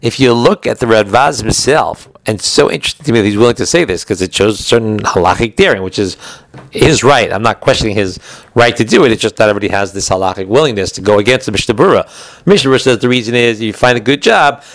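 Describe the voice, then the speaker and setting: A man's voice: fairly low pitch, lively intonation, fast talker, no echo, low-quality recording. A man giving an informal religious law class, explaining texts in a digressive way, often rephrasing and posing questions to himself.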